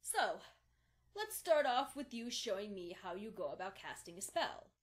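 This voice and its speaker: A woman's voice: medium pitch, big pitch swings, normal speed, natural voice. A woman playing a kind, patient young unicorn mentor in a fan fiction audio drama, reassuring and instructive.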